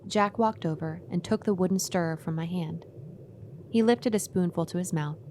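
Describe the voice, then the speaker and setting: An actress playing an uneasy, obedient woman who tells her eerie story in a measured way in a radio horror play. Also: on the low side, dark tone, a little hiss or hum, close to an everyday voice. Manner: measured, first-person storytelling.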